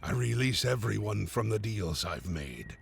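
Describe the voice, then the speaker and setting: An undead pirate captain for a video game, with a very gravelly, growling low voice. He is bitter and grieving, theatrical and brooding, and speaks slowly with music behind him. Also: expressive, heavy background noise, heavily acted. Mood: remorseful, tender. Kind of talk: video game cutscene acting.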